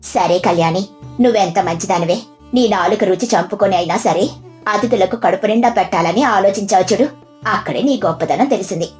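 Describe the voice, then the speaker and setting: A woman voicing a sensible, caring elder sister-in-law with a measured, gently scolding manner in a storybook tale. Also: mid-range voice, faint music behind, pitched below the performer's own voice, natural voice. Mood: tender.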